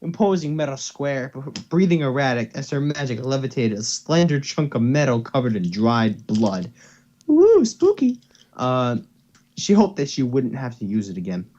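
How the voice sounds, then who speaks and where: A man's voice: mid-range voice, sing-song, moderate pace, mellow, muffled recording. A young man giving a casual amateur reading of a story, playful and stumbling, with offhand asides. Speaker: reader. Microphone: headset or desktop mic on voice call.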